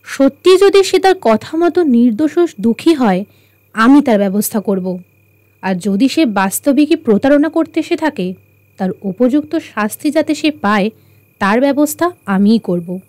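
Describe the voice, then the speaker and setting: A young detective heroine in a radio play. Her high voice swings widely in pitch, firm and admonishing, watchful and sure of herself. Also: lively intonation, unhurried, a little mellow, dry recording. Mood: resolute. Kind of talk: radio drama acting.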